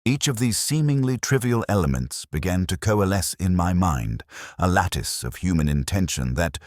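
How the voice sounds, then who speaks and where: A man playing a brooding, intellectual police detective, narrating a mystery story in a measured, contemplative, literary manner. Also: low voice, animated, no room sound, studio quality. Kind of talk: scripted first-person detective story narration.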